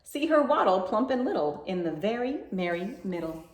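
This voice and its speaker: Female storytime host: playful read-aloud for little kids, highly animated, rhythmic, roomy echo. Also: moderate pace, a little hiss or hum. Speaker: children's library storytime host. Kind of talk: expressive picture-book read-aloud for young children.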